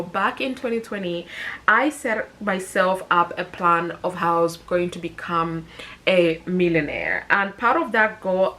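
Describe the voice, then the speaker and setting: A woman's voice: lowish voice, a bit bright. A woman in her thirties talking casually to camera as a personal finance vlogger, chatty and upbeat, often correcting herself mid-sentence. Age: 30s.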